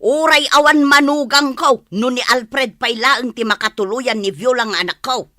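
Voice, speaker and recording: A woman's voice: high voice, normal speed, bright, forceful tone, no echo, clean studio sound. A woman acting a stern middle-aged mother who grumbles emphatically and disapprovingly in an Ilocano radio comedy drama. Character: stern, disapproving village mother guarding her daughter.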